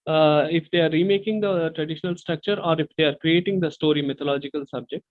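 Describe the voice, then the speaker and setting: A man's voice: on the high side, fast. A man from India, explaining earnestly as an interview guest over a video call, full of hesitant fillers. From South India. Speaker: guest artist. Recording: tinny phone-like call audio.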